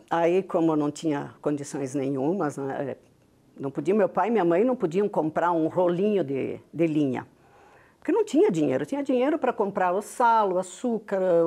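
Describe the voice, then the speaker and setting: An older woman with a strong Italian Veneto-flavored Brazilian accent speaks in a low, mellow voice. She talks in an unhurried, reflective way, proud of where she comes from. She reminisces as an interview guest. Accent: Italian Veneto-influenced Brazilian Portuguese. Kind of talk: reminiscing storytelling.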